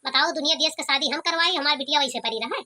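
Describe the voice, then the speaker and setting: A woman's voice: high voice, quick pace, sharp tone. A woman voicing a self-important, scheming village headman and father in a cartoon, with an Awadhi accent. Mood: scheming, bossy. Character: bossy, scheming village headman, older father of two daughters. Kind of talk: cartoon comedy voice acting.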